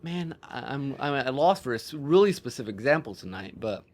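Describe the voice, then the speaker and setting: A man co-hosting a podcast, talking casually and haltingly as he thinks aloud, often interrupting himself. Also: mid-range voice, sing-song. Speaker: podcast co-host. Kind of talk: casual discussion of storytelling.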